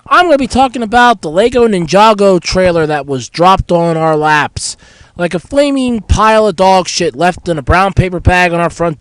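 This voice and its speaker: A young man hosting a casual solo podcast while sick with a cold, hoarse and stuffy, rambling candidly about his week. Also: high voice, a bit bright, no echo, low-quality recording. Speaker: podcast host.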